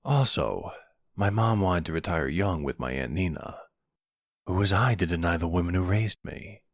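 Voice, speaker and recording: Man playing a deep, smug young mafia boss. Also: no echo, studio quality. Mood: smug, playful. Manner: teasing, unhurried, smug. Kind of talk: ASMR boyfriend roleplay acting.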